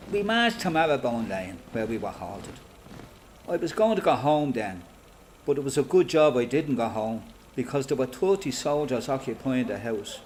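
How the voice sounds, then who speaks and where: An actor playing an Irish freedom fighter veteran, giving matter-of-fact first-person testimony in an Irish accent for a radio play. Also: male voice, medium pitch, darkish tone, slight background noise, lightly acted. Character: plain-spoken Irish volunteer veteran recounting his fighting days.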